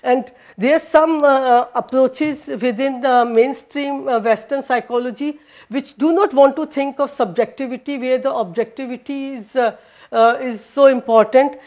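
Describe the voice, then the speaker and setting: A high-pitched woman whose first language is Hindi lectures to a university class. She speaks haltingly, full of fillers and restarts, and is recorded from across a lecture room by a far camera. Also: a little mellow. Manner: hesitant, many fillers and restarts, switches into Danish.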